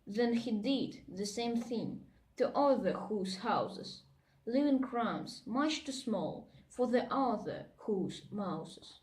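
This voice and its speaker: A woman's voice: fairly high pitch, animated, slow pace, darkish tone. A woman with a Russian accent reading a storybook aloud in verse. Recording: echoey room.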